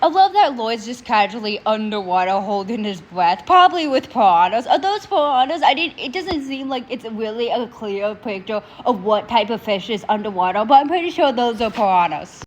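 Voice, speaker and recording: A young woman with a stuffy nose and sore throat, hosting a casual reaction video in an animated, opinionated way. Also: a little high, moderate pace, few pauses, background noise. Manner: animated, opinionated ranting to viewers.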